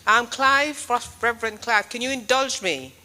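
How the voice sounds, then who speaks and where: A woman's voice: fairly high pitch, normal speed, bright, forceful tone. A woman from the audience politely asking for a moment to make an announcement in a formal way. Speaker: audience member making an announcement.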